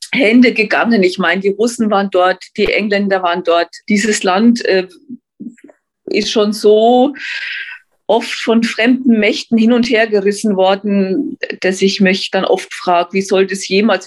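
Tentative woman in an interview. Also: mid-range voice. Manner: tentative, thinking aloud. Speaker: interview guest. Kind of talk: informal opinion interview.

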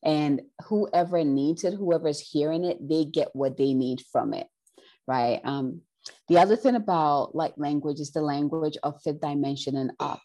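A woman's voice: low-pitched, normal speed, dark tone. A woman from Ghana, a spiritual healer, talking in an earnest, casual way as an interview guest, with many "you know" and "right" fillers.

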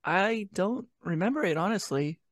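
A man's voice: high voice, lively intonation, normal speed, dry recording, clean studio sound. A man co-hosting a podcast, talking in a loose, casual, conversational way. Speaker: podcast co-host reviewing an audio drama. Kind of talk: casual chat.

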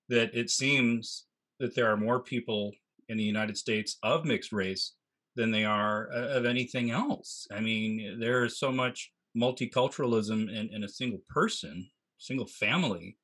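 Man, host, low-ish voice, reflective, halting. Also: a bit bright. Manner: thoughtful, halting with restarts. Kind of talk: interview conversation.

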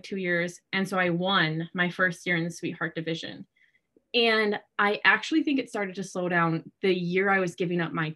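A woman's voice: mid-range voice, bright, forceful tone. A woman in her early twenties with an American accent, telling personal stories in a casual, chatty way with frequent "like.". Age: early 20s. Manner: chatty, heavy use of "like". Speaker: interview guest. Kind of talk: casual chat, personal storytelling.

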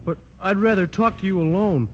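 An actor playing a slow-witted, ungrammatical high school athlete in an old radio comedy. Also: male voice, slight background noise, lightly acted. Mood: earnest. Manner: dim, halting, ungrammatical. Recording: dull old radio broadcast.

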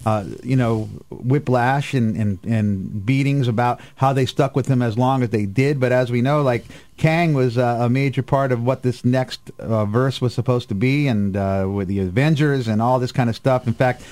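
Male radio host, mid-pitched, casual and rambling, restarts thoughts mid-sentence. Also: normal speed, hardly any pauses, a little mellow. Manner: rambling, self-interrupting. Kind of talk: casual live radio panel talk.

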